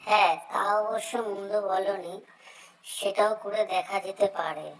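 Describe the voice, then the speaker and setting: A woman voicing a concerned, caring mother bird in a cartoon story, speaking earnestly and deliberately. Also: medium pitch, fairly even intonation, a little hiss or hum, natural voice, low-quality recording. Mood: agreeing, resolved.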